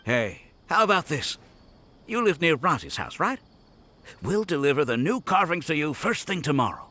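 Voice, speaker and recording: A friendly young shopkeeper, polite and grateful, with a bright, lively voice that lifts and falls. Crickets chirp faintly behind. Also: male voice, a little high, normal speed, exaggerated voice. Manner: polite, warm-hearted, grateful.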